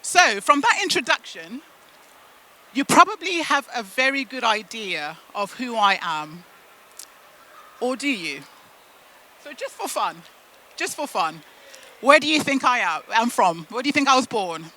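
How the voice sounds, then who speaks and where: A woman from the UK and Zimbabwe, a native Shona speaker, giving a motivational storytelling talk with deliberate phrasing and emphatic pauses. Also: a little high, very animated, sharp tone. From United Kingdom and Zimbabwe. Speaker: keynote speaker at a business event.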